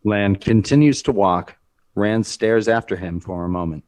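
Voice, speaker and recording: Slow, low-voiced man narrating a script. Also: a little mellow. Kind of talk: scripted narration of stage directions.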